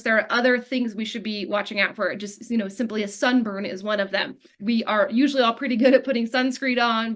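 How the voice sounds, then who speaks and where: A woman's voice: on the high side, fairly bright tone. A woman moderating a webinar over a video call, casual and upbeat, relaying audience questions. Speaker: webinar host.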